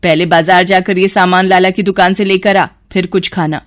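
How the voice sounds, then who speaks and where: A woman voicing a cruel, scolding aunt who orders a child around in a sharp, commanding way, in a storybook tale. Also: lowish voice, flat delivery, moderate pace, close to an everyday voice. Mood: bossy, irritated.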